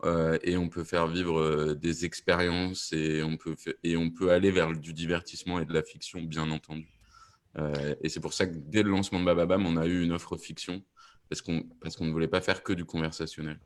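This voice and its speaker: A man speaking as a guest in a panel discussion, halting and repeating words as he searches for phrasing. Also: low-pitched, flat delivery. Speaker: podcast producer, panel guest.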